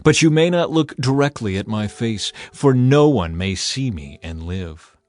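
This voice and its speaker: A man's voice: medium pitch, lively intonation, measured pace, darkish tone, faint music behind, lightly acted. A man voicing a solemn, commanding God in dramatized scripture, grave and declamatory. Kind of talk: dramatized audiobook acting.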